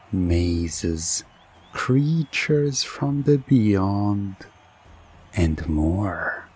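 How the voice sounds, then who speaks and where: A man doing hypnosis ASMR roleplay as a teasing, theatrical game show host, softly coaxing the listener into trance. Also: low voice, a little hiss or hum. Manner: slow, teasing, theatrical showman; soft, coaxing hypnotic induction.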